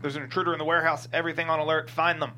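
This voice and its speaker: Man acting high, frantic crime-comedy underling. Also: little pitch movement, very fast, sharp tone, slight background noise. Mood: urgent alarm. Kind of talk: fast dramatic table read of a screenplay.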